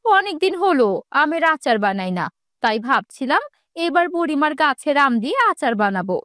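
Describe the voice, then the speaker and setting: A woman's voice: sits high, big pitch swings, normal speed, a bit bright, dry recording, clean studio sound. A woman voicing a practical, mildly scolding village housewife in a fairy-tale cartoon, in a homely manner. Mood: coaxing.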